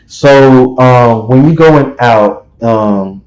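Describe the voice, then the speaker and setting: A man talks in a dramatic, expressive way as an interview guest. He rambles, restarts and fills with um and you know. His voice sits at a middling pitch and rings in a roomy echo. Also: extremely slow. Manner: rambling with many fillers and restarts. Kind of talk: casual interview chat.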